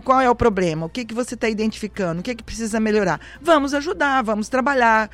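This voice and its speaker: A Brazilian woman in her sixties, a veteran teacher, speaking warmly and with conviction as a radio interview guest. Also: fairly high pitch, quick pace, faint music behind. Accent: Brazilian Portuguese. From central-west Brazil. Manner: warm, emphatic, speaks with conviction. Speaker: retired teacher and former councilwoman.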